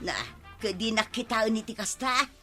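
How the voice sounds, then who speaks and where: Sassy, high, bright Ilocano village woman, acted. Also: moderate pace, soft background music. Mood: defensive. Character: sassy, skeptical village woman critical of the captain. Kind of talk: Ilocano radio comedy drama.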